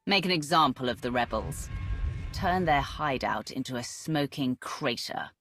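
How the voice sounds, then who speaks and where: A woman's voice: extremely low pitch, expressive, measured pace, fairly bright tone, dry recording, exaggerated voice. A woman playing a ruthless, imperious empress in a video game, cold and commanding in manner. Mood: cold, menacing. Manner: commanding, menacing. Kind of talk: video game cinematic acting.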